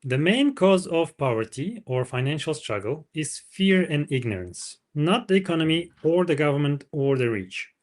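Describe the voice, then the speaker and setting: A man's voice: fairly high pitch, sing-song, normal speed, few pauses. A man reading the storybook part of a wise, frank older mentor, speaking in an emphatic, teacherly way. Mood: earnest, instructive. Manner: didactic, emphatic.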